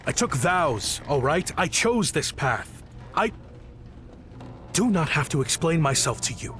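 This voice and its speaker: Prickly, animated male warrior. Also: on the high side, unhurried, a little hiss or hum. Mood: indignant. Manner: curt, indignant, defensive. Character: prickly, stern vow-bound male warrior. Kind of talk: video game character dialogue.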